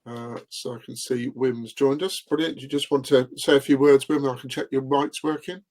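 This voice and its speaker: A man's voice: medium pitch, fairly even intonation, a little mellow. Polite male conference chair. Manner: polite, brisk, upbeat. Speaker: event chair and host. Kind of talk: chairing a Q&A and introducing speakers.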